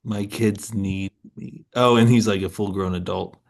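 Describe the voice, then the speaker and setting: A man's voice: on the low side, steady tone, normal speed, gravelly. A man hosting a podcast, asking questions in a casual, conversational way. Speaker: podcast interviewer. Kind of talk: casual interview chat.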